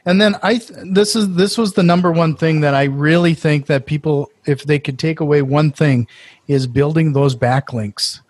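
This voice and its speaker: A man's voice: fairly high pitch, moderate pace, dry recording. A man with a Norwegian accent hosting a casual podcast interview, enthusiastic and full of restarts. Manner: enthusiastic, many restarts and fillers. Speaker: podcast host.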